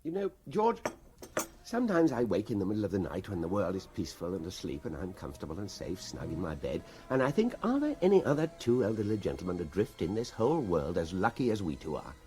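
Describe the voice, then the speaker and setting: A man playing a courteous, musing elderly British gentleman in a comic radio play, genteel and wordy. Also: low-pitched, huge pitch swings, fast. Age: older. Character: elderly gentleman prisoner, cosy, musing, courteous, mildly anxious.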